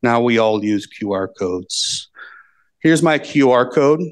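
A man from the giving a conference talk, speaking plainly and reading his points out slowly on a handheld mic. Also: mid-range voice, animated, clear, resonant tone. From United States. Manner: plain, measured, reads points out slowly for translation. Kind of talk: slow, deliberate conference talk. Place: conference room with projector. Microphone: handheld microphone.

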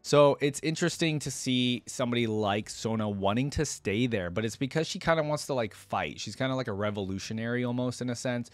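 A man's voice: mid-range voice, brisk, hardly any pauses, no echo, soft background music. A young man speaking as a reaction video host, informal and explanatory, close to a desk microphone. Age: young adult. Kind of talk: casual reaction commentary with some reading aloud. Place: small room indoors at a desk.

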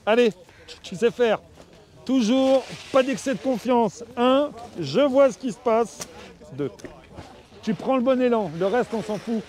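A man coaching a young skier, talking casually and calming her down with encouragement. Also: extremely high pitch, unhurried. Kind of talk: casual chat and pep talk.

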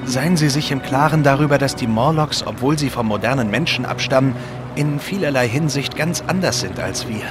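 A man's voice: mid-range voice, normal speed, music in the background, natural voice. An actor playing a grave, knowledgeable, remorseful time traveller in a Victorian audio drama, speaking earnestly as he explains. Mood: earnest, warning. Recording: clear outdoor vehicle noise behind.

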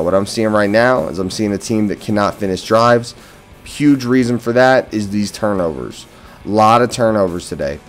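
An American man giving casual post-game football commentary as a fan and host, opinionated and emphatic on key words. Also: fairly low pitch. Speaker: sports podcast host and fan. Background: background music.

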